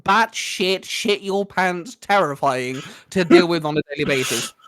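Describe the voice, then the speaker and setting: A man's voice: high-pitched, a little slow, a bit bright, no echo. A man hosting a casual chat with friends, laughing often, swearing and restarting his sentences. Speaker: podcast host running the quiz.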